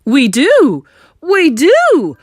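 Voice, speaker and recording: A woman's voice: high-pitched, wildly swooping pitch, very slow, no room sound, clean studio sound, voice pushed up, full character voice. A woman voicing a pompous, outraged middle-aged English father in a storybook, spluttering with indignation. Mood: shrieking dismay.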